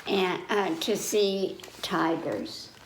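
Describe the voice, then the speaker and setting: A woman's voice: mid-range voice, drawn-out words. A woman leading a story time for young children, reading aloud in simple child-directed speech and putting questions to her listeners. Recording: roomy echo, faint background noise.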